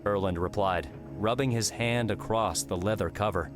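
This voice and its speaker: A man's voice: on the low side, moderate pace. A man narrating a fiction audiobook, reading scripted story prose. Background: music.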